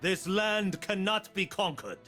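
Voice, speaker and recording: Game monk: stern, wise, confident, slightly higher than average male pitch, unhurried delivery of solemn maxims. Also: expressive, sharp tone, soft background music, full character voice. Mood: defiant. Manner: solemn, measured aphorisms. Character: blind warrior monk, stern, wise, confident, dryly humorous.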